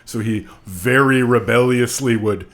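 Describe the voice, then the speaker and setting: A man's voice: mid-range voice, a little slow, fairly bright tone. A man co-hosting a podcast, chatting casually with a wry, sarcastic manner. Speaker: podcast co-host. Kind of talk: casual chat.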